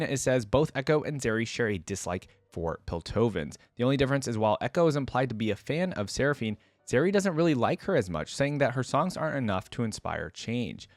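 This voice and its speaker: A man's voice: mid-range voice, no echo. A man hosting a reaction video, commenting casually and thinking aloud in an informal way as he goes.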